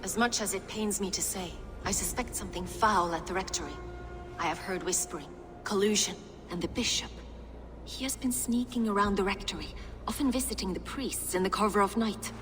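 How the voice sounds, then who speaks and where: An actress playing a devout, resolute abbess in a video game, earnest and formal. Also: female voice, mid-range voice, brisk, fairly bright tone, faint music behind, natural voice. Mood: grave suspicion. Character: devout, resolute abbess guarding sacred texts. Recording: long hall reverb.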